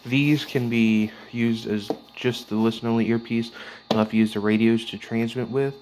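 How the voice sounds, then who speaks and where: A man giving a casual, unscripted show-and-tell of his gear as a hobbyist, speaking in a halting, piecemeal way as he handles items. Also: mid-range voice, fairly even intonation. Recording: clearly noisy background with clicks.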